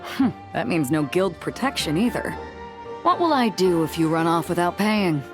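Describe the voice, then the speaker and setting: A woman's voice: lowish voice, lively intonation, moderate pace, over music, close to an everyday voice. An actress playing a breezy, confident seafaring woman selling weapons in a video game, frank and easygoing. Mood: firm, guarded. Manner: easygoing, frank, self-assured.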